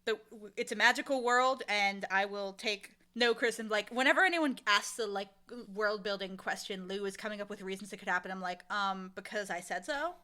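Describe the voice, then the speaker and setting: A woman speaking casually as an interview guest, animated and emphatic, with a playfully blunt way of talking. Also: mid-range voice, brisk, hardly any pauses. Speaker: interview guest, audio drama creator and casting director.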